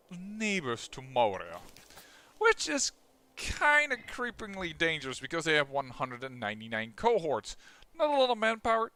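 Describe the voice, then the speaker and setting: A young man with a Dutch accent, casually commentating his own strategy game playthrough, excitable and quick, often restarting his sentences. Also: on the high side, clear, resonant tone, no room sound. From Netherlands. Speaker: let's play host.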